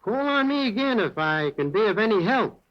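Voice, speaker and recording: An actor playing a hesitant, stammering general manager in an old radio drama. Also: male voice, sits high, sing-song, moderate pace. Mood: uneasy. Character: nervous evasive male mill manager. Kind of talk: 1940s radio acting. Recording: dull old radio recording.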